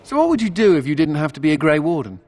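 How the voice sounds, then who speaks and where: A jokey wry mage from a fantasy game, really animated delivery. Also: male voice, on the high side, fast, soft background music. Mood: wry, joking. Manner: sardonic, joking, conversational. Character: wry, flippant runaway mage, adult man. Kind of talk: video game character acting.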